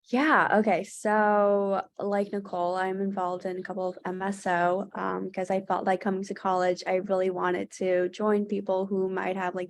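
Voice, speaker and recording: A young woman from Thailand, a student panelist on a webinar, talking earnestly with many fillers and restarts. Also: mid-range voice. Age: young adult. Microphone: video call.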